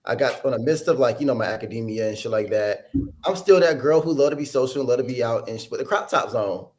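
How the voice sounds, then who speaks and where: Lively male co-host, mid-pitched, sassy with casual slang. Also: fast. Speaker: podcast co-host. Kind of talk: casual chat.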